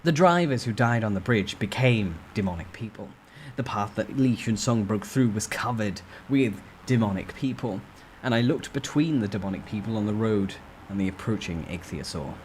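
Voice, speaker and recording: A man's voice: lowish voice, animated, normal speed, slight background noise. A man reading a fantasy novel aloud as a dramatic, suspenseful first-person narrator. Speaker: amateur story narrator.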